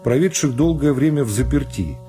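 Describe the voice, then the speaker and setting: Male narrator, medium pitch, measured literary storytelling, radio serial reading, music underneath. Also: lively intonation, warm tone.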